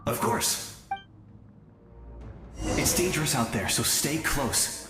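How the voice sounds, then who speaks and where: Gentle young spirit guide, echoey. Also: male voice, mid-range voice, animated, slow pace. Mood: warm resolve. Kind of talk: video game voice acting. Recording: echoey, music behind.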